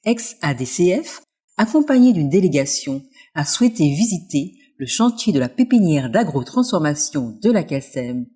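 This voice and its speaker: A woman reading a scripted report narration in a measured, informative way. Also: sits low, warm tone, slightly rough.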